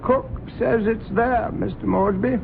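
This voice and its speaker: A meek, gravelly old butler. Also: male voice, high voice, unhurried, slight background noise. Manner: polite, meek, clipped "sir". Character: timid, deferential older male butler. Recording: narrow lo-fi old radio recording.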